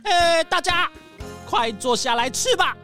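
A woman's voice: very high voice, expressive, measured pace, fairly bright tone, faint music behind, exaggerated voice. A woman voicing a rich, anxious, food-loving male squirrel tycoon in a children's story, fussy and full of exclamations. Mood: delighted. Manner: fussy, exclamatory, repeats words. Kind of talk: children's audio story acting.

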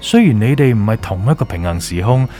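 An actor playing a gloating, manipulative villain in an audio drama, with a Hong Kong Cantonese accent and taunting, drawn-out delivery. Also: male voice, on the low side, expressive, warm tone, faint music behind, natural voice. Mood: coaxing, sinister. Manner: theatrical, taunting, drawn-out emphasis, drops in English words. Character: gloating, manipulative villainous male official, mocking.

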